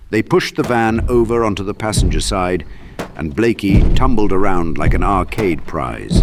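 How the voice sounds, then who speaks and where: A man's voice: fairly low pitch, heavy background noise. A man reading a horror audiobook as a narrator, in a theatrical, intense storytelling manner. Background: sound effects with clicks.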